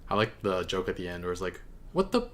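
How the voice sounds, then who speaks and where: A man hosting a reaction video, giving casual commentary in a halting way as he thinks aloud. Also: deep voice, big pitch swings.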